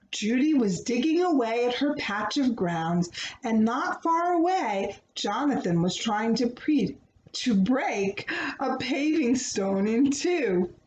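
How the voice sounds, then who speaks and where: A woman reading a children's book aloud in an expressive, dramatic way, as if explaining to young listeners. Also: on the high side. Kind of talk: expressive storybook read-aloud with asides explaining words. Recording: roomy echo, clear background noise.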